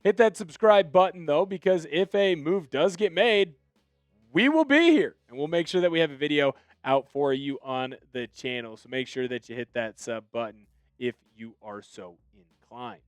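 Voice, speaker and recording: A man's voice: fairly high pitch, moderate pace, clean tone, dry recording. Opinionated male sports host, dry close mic. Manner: opinionated, animated bursts of excitement. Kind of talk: solo sports commentary video.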